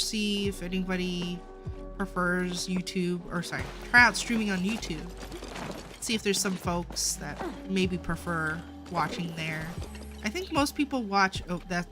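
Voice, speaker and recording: A tired woman chatting casually as she livestreams a game, with a slightly stuffed-up nasal voice and a halting, stammering delivery that often repeats words. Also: lowish voice. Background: music.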